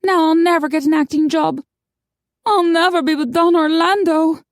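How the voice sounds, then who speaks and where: A woman voicing a naive, emotional young female vampire with a high, girlish voice in an audiobook. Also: fairly even intonation, normal speed, dry recording, studio quality. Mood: tearful, horrified. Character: naive, tearful young female vampire, sweet and wide-eyed. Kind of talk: audiobook character acting.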